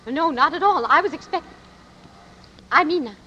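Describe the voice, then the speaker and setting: A nervous, fussing landlady stammers in a high, lively voice that swoops around. She talks slowly over a faint hiss on a dull old radio recording. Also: heavily acted. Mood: flustered. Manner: stammering, breaks off her sentences, fussing. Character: flustered, fawning landlady. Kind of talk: 1940s radio drama acting.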